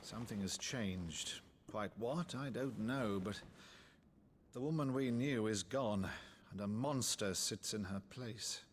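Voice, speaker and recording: Hearty theatrical noble uncle. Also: male voice, lowish voice, takes it slowly, slight background noise. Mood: grave. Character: jovial theatrical nobleman uncle, grand and warm. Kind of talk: video game cutscene acting. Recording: game score playing behind. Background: music.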